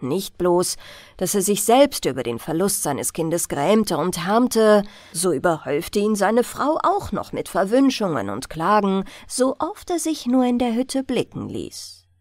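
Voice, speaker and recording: A woman reading a fairy tale as a bedtime audiobook, in an expressive storytelling manner. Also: mid-range voice, measured pace, no echo, studio quality. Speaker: audiobook reader.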